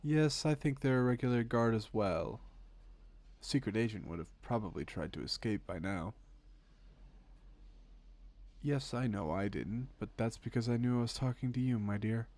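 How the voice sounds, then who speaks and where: A man playing a suave, teasing secret agent in an audio roleplay, speaking with wry politeness and calm menace in a creaky voice. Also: medium pitch, close to an everyday voice. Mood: fond, playful. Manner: polite, wry, unhurried conversational patter with pointed pauses. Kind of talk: scripted audio roleplay, intimate one-sided dialogue.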